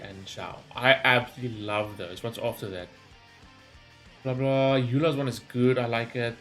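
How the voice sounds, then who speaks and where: A man's voice: medium pitch, a bit bright. A man giving casual, opinionated gaming reaction commentary, talking in a rambling, enthusiastic way as a video host.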